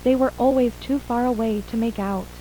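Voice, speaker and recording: A woman's voice: on the high side, fairly even intonation, a little fast, dark tone, lightly acted, low-quality recording. A woman playing a shaken mother and attack survivor, plainly recounting events in an acted horror audio drama interview. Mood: subdued, uneasy. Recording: faint hum and hiss.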